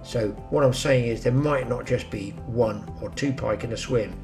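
A man's voice: medium pitch, normal speed, music in the background. An older man hosting a fishing show, giving practical tips outdoors by a reedy pond and talking straight to his viewers. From England. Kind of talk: instructional outdoor fishing talk. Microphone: camera mic, some lines from further off.

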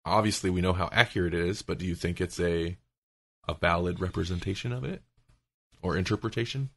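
A man's voice: low voice, fairly quick, creaky, a bit bright. A man chatting casually as a podcast host, thinking aloud and often qualifying what he says.

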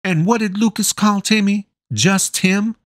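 A woman's voice: medium pitch, a little slow, dry recording, studio quality, voice pushed up, natural voice. A man voicing a big, bossy, doting mother who talks in a fussy, folksy, insistent way. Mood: chatty.

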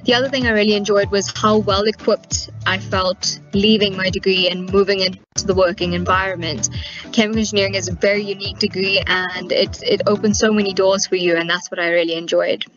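A young woman graduate speaking calmly into a webcam at home. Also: medium pitch, fairly quick, lo-fi sound, faint music behind. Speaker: recent graduate. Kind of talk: testimonial to camera.

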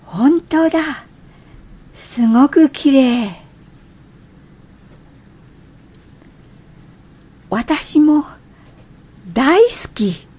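Casting: irritable young wife, radio drama, high pitch, animated and exclamatory. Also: female voice, drawn-out words, full character voice. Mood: brightening. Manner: expressive, exclamatory, emotionally changeable. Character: irritable, changeable young wife, quick to flare up. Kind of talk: radio drama acting with inner monologue.

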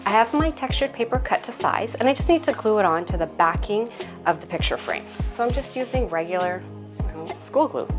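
A woman's voice: medium pitch, lively intonation, fairly quick, music in the background. A woman in her thirties hosting a home DIY tutorial at home, chatty and self-deprecating with playful asides, on a lapel mic. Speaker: home decor YouTuber. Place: home interior, hallway and craft table.

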